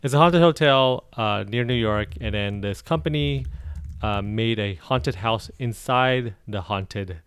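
A man co-hosting a casual podcast chat. He recounts things in a halting way, with many restarts. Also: mid-range voice, fairly bright tone, clean tone, no echo. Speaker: podcast co-host.

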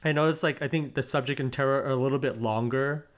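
A man's voice: mid-range voice, quick pace. A man hosting a podcast, chatting loosely with lots of fillers and restarts. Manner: relaxed, rambling with many fillers. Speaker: podcast host. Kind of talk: casual chat.

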